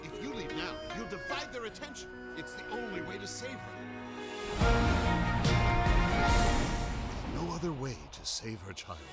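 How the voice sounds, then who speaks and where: An actor playing a solemn, protective older former guard captain in a video game, speaking earnestly and gravely. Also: male voice, sits high, sing-song, a little fast. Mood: urgent. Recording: music under the voice.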